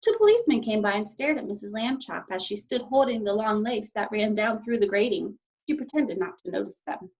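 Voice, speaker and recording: A woman teacher reading a children's story aloud and explaining it to young pupils in an expressive way. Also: medium pitch, brisk, hardly any pauses. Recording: slightly dull, lo-fi sound.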